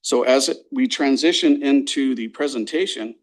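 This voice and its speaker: A man, a program coordinator, giving a measured, formal presentation to a school board, reading from notes with some fillers. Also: medium pitch. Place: board meeting room.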